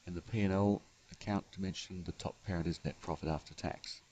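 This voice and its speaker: A man giving an unscripted software tutorial, explaining step by step in a halting way as the developer. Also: low voice, steady tone, lo-fi sound, slight background noise. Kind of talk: unscripted screencast tutorial narration.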